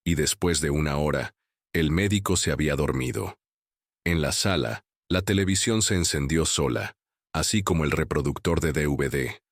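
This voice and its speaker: A man narrating scripted horror stories in a grave, measured manner. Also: a bass voice, no room sound, studio quality.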